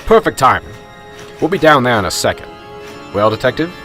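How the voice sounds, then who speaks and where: A man's voice: mid-range voice, big pitch swings, fairly quick, bright, forceful tone, soft background music. A man acting in a radio drama as a flustered small-town police sergeant, apologetic, hesitant and eager to please.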